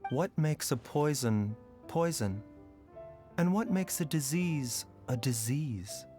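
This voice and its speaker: A man voicing a composed physician in a video game who speaks in a measured, calm and reflective way. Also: mid-range voice, soft background music. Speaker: doctor.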